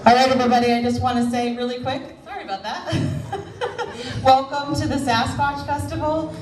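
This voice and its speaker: Woman festival announcer, upbeat, slightly flustered, high-ish voice, echoey from afar. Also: moderate pace. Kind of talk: stage announcement. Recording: echoey, far off, crowd behind.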